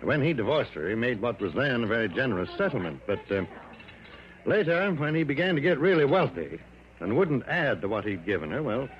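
An actor playing a hesitant, fussy, cautious American insurance man in a mid-century radio drama, halting and hedging. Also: medium pitch, very animated, slight background noise, lightly acted. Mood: fussy insinuating. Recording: muffled old radio broadcast.

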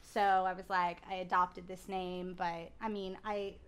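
A woman guest on a podcast, chatting casually with giggles and halting restarts. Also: lowish voice, level tone, a crowd in the background. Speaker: guest musician.

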